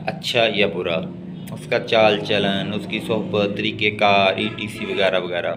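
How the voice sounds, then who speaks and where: A Pakistani man delivers a lecture at a slow, methodical pace. His voice sits at an ordinary middle pitch, and he moves between reading notes aloud and explaining them. There is noise behind him. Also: a bit bright. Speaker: advocate giving a law lecture. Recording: clearly noisy background.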